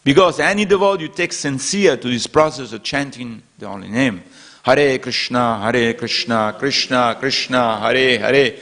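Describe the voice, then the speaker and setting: A man's voice: medium pitch, normal speed, fairly bright tone. A man giving a devotional scripture class in a temple, speaking earnestly in repetitive phrases with non-native English grammar. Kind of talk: devotional sermon. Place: temple hall.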